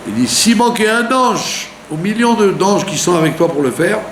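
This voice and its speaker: Man, rabbi, sermon, fairly high voice, lively intonation, echoey room. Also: moderate pace. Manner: animated teaching, with rhetorical questions and frequent "d'accord?". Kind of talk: Torah lesson sermon. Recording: echoey room with faint hum.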